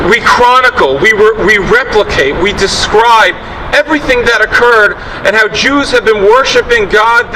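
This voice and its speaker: A man speaks formally as a rabbi addressing a gathering, in a notably high voice. His delivery is rhetorical and sermon-like, caught by a distant mic amid clear background noise. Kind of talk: public address, sermon-like oratory. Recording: distant mic, loud room rumble.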